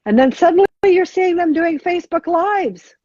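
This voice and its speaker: A middle-aged woman answering as a guest on a podcast interview, talking haltingly with many restarts and fillers. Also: very high-pitched, expressive, mellow, dry recording. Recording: dull lo-fi sound.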